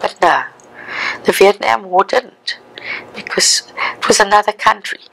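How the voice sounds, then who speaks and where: South African woman in her sixties, gravelly. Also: mid-range voice, unhurried, fairly bright tone, poor audio. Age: 60s. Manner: reflective, conversational storytelling. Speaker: interviewee. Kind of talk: oral history interview.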